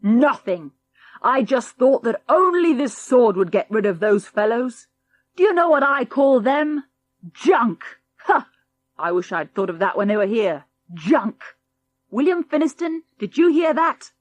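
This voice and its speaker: A woman voicing a boisterous old great-grandfather, loud and gleeful, in a read-aloud storybook. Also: on the high side, very animated, unhurried, dry recording, pitched above the performer's own voice. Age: older.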